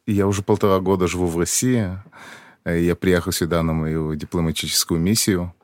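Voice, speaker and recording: A man's voice: sits low, steady tone, brisk, no room sound, clean studio sound. A man in his thirties, a guest on a podcast, explaining things plainly and simply for a child. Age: 30s. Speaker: embassy press secretary, guest. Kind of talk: casual interview.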